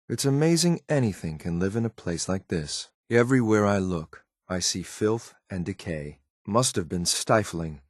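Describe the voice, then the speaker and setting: Deep-voiced, deadpan game soldier. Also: male voice, no room sound, clean studio sound. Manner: clipped, deadpan, disdainful. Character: grim synthetic male soldier, cold, disdainful of the wasteland. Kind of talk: scripted video game companion lines.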